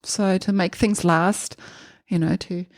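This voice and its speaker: German-accented woman chatting on a podcast, lowish voice, dry close sound, lots of ums. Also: fairly even intonation, slow pace, no room sound. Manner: thoughtful, hesitant with many ums. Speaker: podcast guest. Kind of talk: casual interview chat.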